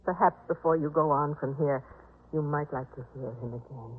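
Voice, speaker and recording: An actress playing a gentle, anxious, compassionate wife in a 1950s radio drama, earnest and pleading in manner. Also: female voice, sits low, moderate pace, slight background noise, close to an everyday voice. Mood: troubled. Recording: muffled phone-like 1950s radio recording.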